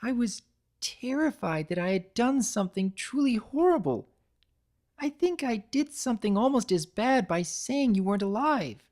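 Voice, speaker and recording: A woman voicing an excitable, tender-hearted young female cartoon pony baker, effusive, earnest and girlish. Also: fairly high pitch, moderate pace, pitched above the performer's own voice, lightly acted. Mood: remorseful, pleading.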